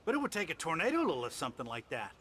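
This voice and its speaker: A man's voice: a little high, huge pitch swings, very fast, fairly bright tone, a little hiss or hum. An actor playing a folksy, skeptical small-town trailer park man in a game, dismissive and chatty.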